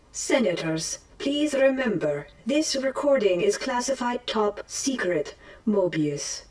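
A woman playing a formal mission-log assistant in an audio drama, making measured, procedural announcements. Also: mid-range voice, a little mellow, recorded from a distance, low-quality recording. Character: formal female mission-log assistant of a time-travel force.

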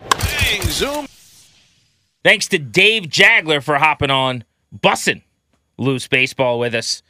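A man's voice: on the high side, expressive, takes it slowly, sharp tone, dry recording, studio quality. A man hosting a sports talk show, presenting segments and interviewing guests in an upbeat radio style.

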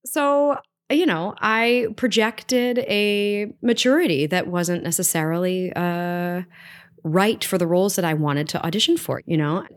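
A woman with a notably low, deep speaking voice talks through her stage stories in an easygoing interview. She is chatty and animated, leaning on "you know" as she goes. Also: a little slow. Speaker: Broadway actress, guest. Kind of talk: conversational storytelling interview.

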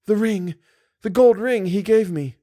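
A frightened young woman of a gothic storybook, as played by a male reader, pitched well up. She pleads earnestly and exclaims with dramatic, breathless devotion. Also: animated, fairly quick, darkish tone, no room sound, clean studio sound, lightly acted. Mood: distraught.

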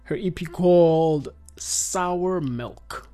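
A man's voice: a little high, very slow, dark tone. A man in his twenties with a South African accent, hosting a casual music review chat in laid-back, slangy speech. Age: 20s. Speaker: podcast host.

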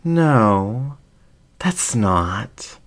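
A man's voice: mid-range voice, animated, voice pushed up. A man voicing a flamboyant, vain and seductive male fox ringmaster in a cooing, theatrical way, as in an audio story. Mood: flustered. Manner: cooing, drawn-out, theatrical delivery.

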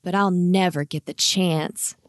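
A woman's voice: lowish voice, steady tone, a little slow, dry recording, clean studio sound, natural voice. A woman voicing a mopey but sweet-natured male hound in a children's storybook, bashful, folksy and apologetic. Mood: bashful, wistful.

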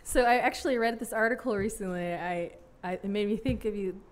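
A woman interviewing a guest in a relaxed way, asking curious questions and laughing along. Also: mid-range voice, fairly quick. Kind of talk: casual interview chat.

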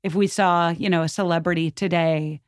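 A woman with a slightly low voice speaks as a podcast guest, explaining history in an informal, conversational way. She is enthusiastic and opinionated, and the sound is dry, with very little room in it. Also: steady tone, normal speed, no room sound.

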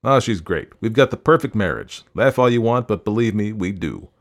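Audiobook husband, played by a male narrator: domineering, irritable germophobe, emphatic syllables, swinging pitch. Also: animated, moderate pace. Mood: smug, dismissive. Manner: shouting, hitting syllables hard. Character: angry, germ-phobic, domineering husband.

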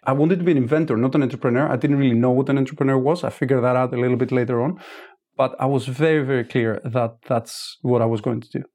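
A man, a startup founder giving measured, thoughtful interview answers with frequent restarts. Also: mid-range voice, fairly even intonation, a little mellow. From Greece.

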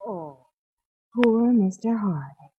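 A woman's voice: mid-range voice, expressive, slow pace, background noise. An actress playing a flirtatious, worldly woman, sly and teasing, in 1940s radio drama. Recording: muffled old radio recording.

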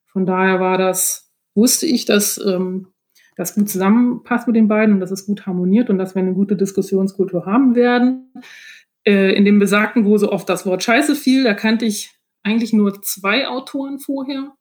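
A woman's voice: mid-range voice, fairly even intonation, normal speed, darkish tone. A woman speaking as a guest in a casual interview, explaining her experiences hesitantly and often laughing as she goes. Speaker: podcast guest, author.

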